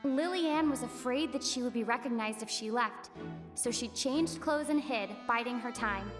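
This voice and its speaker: A woman playing a calm young investigator. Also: a little high, natural voice. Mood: thoughtful. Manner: calm, analytical, deliberate reasoning. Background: game score music.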